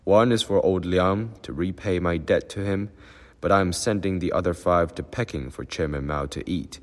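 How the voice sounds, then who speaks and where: A man's voice: low voice, normal speed, long reverb tail, voice pushed up, natural voice. A man voicing a defiant old male peasant who talks in a blunt, coarse way. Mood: shouting. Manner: blunt, coarse, toneless at times.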